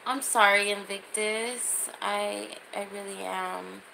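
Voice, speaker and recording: A woman's voice: medium pitch, fairly even intonation, takes it slowly, creaky, bright, forceful tone, a little hiss or hum, rough recording. A woman in her thirties chatting casually and informally as she hosts a livestream from a small room, holding her phone at arm's length. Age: 30s. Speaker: livestream host. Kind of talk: casual livestream chat, reading and answering comments.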